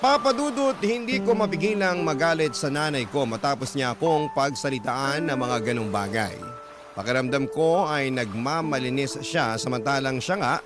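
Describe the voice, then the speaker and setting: A man's voice: medium pitch, lively intonation, moderate pace, close to an everyday voice. A man with a Filipino accent reading a confessional letter as dramatic scripted radio narration. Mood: aggrieved. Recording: loud music under the voice.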